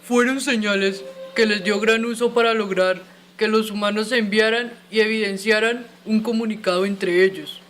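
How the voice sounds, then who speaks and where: Man as a high-voiced, courteous inventor. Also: fairly even intonation, normal speed, fairly bright tone. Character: courteous male inventor of radio explaining his work. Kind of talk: scripted educational radio drama.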